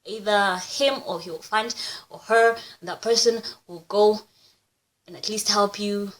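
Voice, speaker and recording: A woman's voice: mid-range voice, a little slow, low-quality recording. A young woman from Kenya whose first language is Kikuyu, chatting on camera in a casual, self-conscious and upbeat way as she teaches phrases. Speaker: YouTuber.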